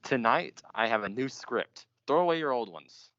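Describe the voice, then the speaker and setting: A young man playing a grandiose, obsessive radio announcer, theatrical and boastful in a high school radio play. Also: animated, moderate pace, fairly bright tone, no room sound, natural voice. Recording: dull lo-fi recording.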